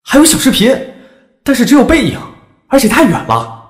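A starry-eyed woman following online gossip, fairly high and animated. She sounds eager, in an echoey audio drama with music. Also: normal speed. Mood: breathless gossip. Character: curious, starry-eyed female internet gossip fan.

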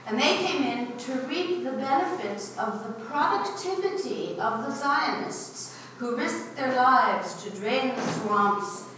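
A woman in her sixties from Israel, giving an earnest, measured talk from a podium in a university lecture hall. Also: sits high, animated, a bit bright, a little hiss or hum. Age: 60s. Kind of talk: prepared public talk. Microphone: podium, filmed from a distance. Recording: echoey lecture hall from far off.

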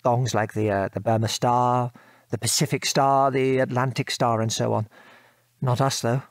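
A man's voice: mid-range voice, steady tone, moderate pace, no echo, close to an everyday voice. An actor playing a modest, remorseful English father and former RAF bomb aimer in an audio drama, with a British accent and hesitant, genteel speech. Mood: bitter. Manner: hesitant, reflective, genteel old-fashioned turns of phrase. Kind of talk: audio drama acting, reminiscing monologue.

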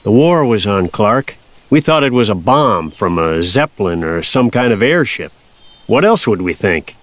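A man's voice: on the low side, big pitch swings, moderate pace, fairly bright tone, no room sound. An actor playing an older Kansas farmer father, plainspoken, folksy and patiently wry, in an audio drama.

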